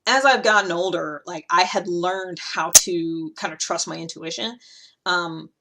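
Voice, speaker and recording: A woman hosting a podcast in a casual, informal monologue, explaining ideas in a rambling, animated way and slipping into imagined replies. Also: on the low side, a little slow, poor audio. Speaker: podcast host explaining personality typology.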